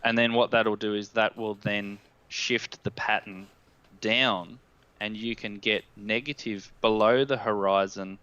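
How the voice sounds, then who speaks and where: A man's voice: fairly low pitch, fairly even intonation, unhurried, pushed and bright, clear, resonant tone, no echo, muffled recording. An Australian-accented man in his thirties, a guest expert giving a technical explanation on a live stream. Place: indoor room at home, on a video call. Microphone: headset.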